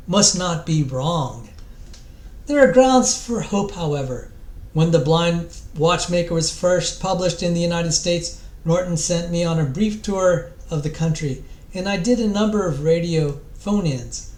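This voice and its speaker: A man's voice: on the high side, darkish tone. A man giving a dramatic, theatrical reading aloud from a book, stressing his phrases emphatically. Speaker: reader of a book introduction. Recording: echoey, roomy sound.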